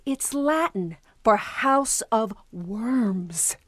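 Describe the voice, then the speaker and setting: A woman's voice: fairly high pitch, expressive, drawn-out words, a bit bright, no room sound, heavily acted. A woman playing an anxious, suspicious young honeymooning wife in an audio drama. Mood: frightened, insistent. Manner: hesitant, anxious, insistent.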